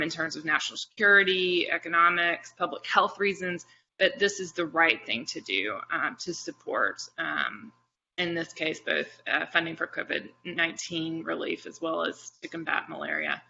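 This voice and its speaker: A woman's voice: fairly low pitch, normal speed, creaky, pushed and bright, a little hiss or hum. A woman presenting as an advocacy panelist on a webinar. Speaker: faith advocacy organizer, panelist. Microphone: video call. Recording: dull lo-fi webinar audio.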